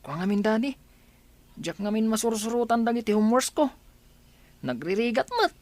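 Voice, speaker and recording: A woman's voice: fairly high pitch, sing-song, moderate pace, a little mellow, dry recording. A woman voicing a childlike, affectionate young schoolboy who wheedles and whines in an Ilocano radio drama. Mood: whiny, wheedling.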